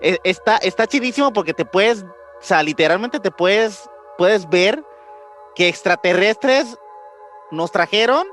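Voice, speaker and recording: High-pitched Mexican man, slangy. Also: expressive, measured pace, fairly bright tone, faint music behind. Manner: slangy, repeats words as he thinks aloud. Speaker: podcast co-host. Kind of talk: casual conspiracy podcast chat.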